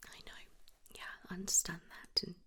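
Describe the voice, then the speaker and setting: A woman's voice: moderate pace, bright, forceful tone. A woman whispering soothingly and reassuringly as a nurse in a one-sided ASMR roleplay.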